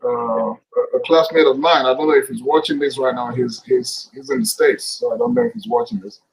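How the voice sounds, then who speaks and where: A middle-aged man, a guest actor chatting casually on a video call, relaxed and jokey. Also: on the high side, fairly quick, vocal fry, slight background noise, low-quality recording. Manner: relaxed, laughs often, jokey. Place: indoors, room with curtains. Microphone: webcam mic on video call.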